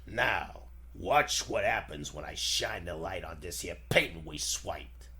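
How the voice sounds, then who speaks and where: A man playing a gruff, bullying, impatient gangster boss in 1940s radio style, with a gravelly voice and slangy, insulting tough talk. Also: low-pitched, expressive, measured pace, bright, forceful tone, exaggerated voice. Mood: gloating.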